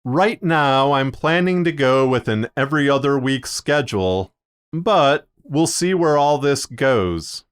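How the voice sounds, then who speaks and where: Clear-voiced man narrating with measured phrasing. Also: mid-range voice, animated. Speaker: podcaster and fiction narrator presenting a video series. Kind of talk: scripted video narration.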